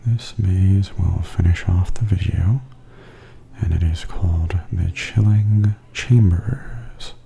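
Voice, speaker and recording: A man giving soft-spoken, hushed and often whispery ASMR commentary as a video host. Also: deep voice, creaky, a little hiss or hum. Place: voice-over added after filming.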